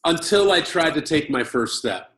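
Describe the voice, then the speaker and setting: An American man tells a story from his childhood, slow and measured. He speaks in short bursts with pauses for an interpreter. The room around him rings with echo. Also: fairly high pitch, expressive, a bit bright. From Chicago, United States. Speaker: conference speaker. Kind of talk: personal story in a talk. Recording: roomy echo.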